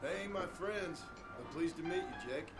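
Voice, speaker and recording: A man's voice: on the high side, lively intonation, moderate pace, over loud music, close to an everyday voice. Man playing a terse distant gunslinger. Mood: curt, guarded. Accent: American Western drawl. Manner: curt, dry. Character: terse, guarded Old West gunslinger. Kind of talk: scripted video game acting. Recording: distant game capture.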